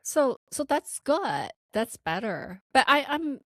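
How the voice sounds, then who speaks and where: A woman's voice: high-pitched, slow, no echo, clean studio sound. A woman with a slight non-native accent, co-hosting a podcast and telling a work story in casual chat, acting out the quotes. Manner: animated storytelling with laughs and acted-out quotes. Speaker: podcast co-host.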